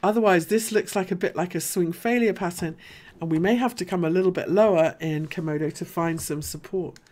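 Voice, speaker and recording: A woman with a deep voice, hosting a live market analysis show. She talks casually and thinks aloud as she goes. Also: brisk, a little mellow. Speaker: host.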